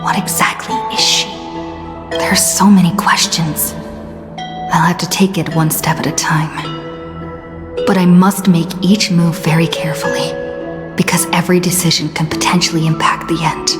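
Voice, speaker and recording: An actress playing a thoughtful, burdened young game heroine, musing to herself in a hushed, breathy, introspective way. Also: female voice, lowish voice, level tone, moderate pace, long pauses, long reverb tail, music in the background, close to an everyday voice. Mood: pensive, worried. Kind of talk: scripted video game monologue.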